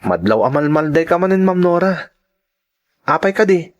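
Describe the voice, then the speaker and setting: Casting: actor for polite lovestruck male student, slightly high voice, Filipino accent, respectful and earnest, radio drama. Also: lively intonation, moderate pace, lightly acted. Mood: concerned. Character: devoted polite young male student admirer. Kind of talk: Ilocano radio drama acting.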